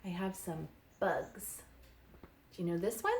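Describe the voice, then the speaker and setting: A woman childcare educator telling stories and playing with toddlers in a sing-song, animated, child-directed way, using simple phrases. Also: lowish voice, measured pace, slight background noise. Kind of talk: sing-song picture book storytelling and play for toddlers.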